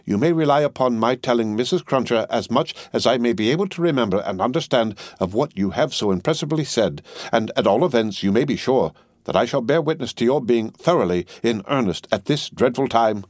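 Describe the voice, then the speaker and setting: A man's voice: mid-range voice, lively intonation, a little fast, dry recording, studio quality, voice pushed up, close to an everyday voice. A man voicing an agitated, tearful lady companion who talks in wild distress. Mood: anxious.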